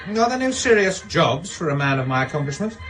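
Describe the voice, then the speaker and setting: An actor playing a teasing, flippant English flatmate in a radio drama, bantering theatrically. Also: male voice, on the high side, a little fast, soft background music.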